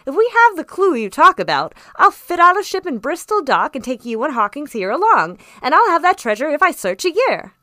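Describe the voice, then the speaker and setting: A woman's voice: fairly high pitch, sing-song, normal speed, pushed and bright, dry recording, clean studio sound. A woman voicing a hot-headed, pompous English country squire in a storybook, exclaiming and repeating himself emphatically. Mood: fired up, exclamatory.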